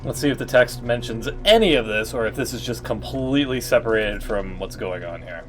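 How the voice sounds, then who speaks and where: Guy streaming games, casual rambling blunt commentary, mid pitch, faint music behind. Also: lively intonation, brisk. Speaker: game streamer.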